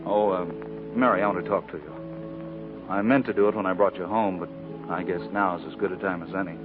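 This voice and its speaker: An actor playing a proud, discouraged young jobless labor organizer, blunt and American, in 1940s radio style. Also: male voice, deep voice, steady tone, normal speed, natural voice. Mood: defeated. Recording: thin, narrow-band old radio broadcast, music.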